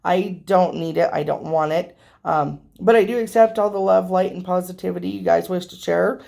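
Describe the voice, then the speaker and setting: Hoarse, slightly nasal woman with a gravelly voice. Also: fairly low pitch, moderate pace, warm tone. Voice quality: a little nasal from allergies. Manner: rambling, chatty, with frequent ums. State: losing her voice, hoarse. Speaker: tarot reader and channel host. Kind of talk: casual vlog talking to viewers.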